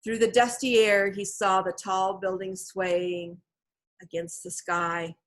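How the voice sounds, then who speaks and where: A woman narrates a children's novel in a medium-pitched voice. She tells the story in a measured, expressive way, as a scripted read-aloud. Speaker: storybook reader.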